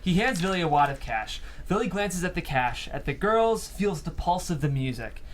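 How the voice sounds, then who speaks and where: A man's voice: a little high, expressive, normal speed, slight background noise. A man reading scripted stage directions as the narrator of a table read.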